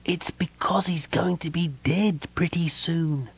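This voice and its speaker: A man voicing a small, excitable, guileless kender who blurts things out in a chatty, naive way. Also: high voice, normal speed, pitched above the performer's own voice. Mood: guileless blurting.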